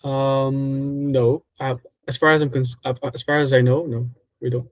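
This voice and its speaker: A man in his twenties from Egypt, a native Arabic speaker, answering interview questions in relaxed, casual English with many fillers and self-corrections. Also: medium pitch, normal speed, no echo. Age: 20s.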